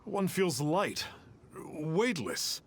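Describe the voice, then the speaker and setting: A man's voice: on the high side, big pitch swings, very slow, slight background noise, heavily acted. A man voicing a haughty, self-important fraud who poses as an immortal sage in a video game. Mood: bewildered. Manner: pompous, haughty, calls himself "one".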